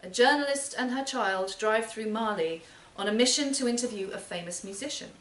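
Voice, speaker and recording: A woman's voice: on the high side, a little fast, fairly bright tone. A woman hosting a live literary event, giving a scripted introduction for a reader. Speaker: host introducing a reading. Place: performance space in a library, live event. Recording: roomy, distant live recording with noise.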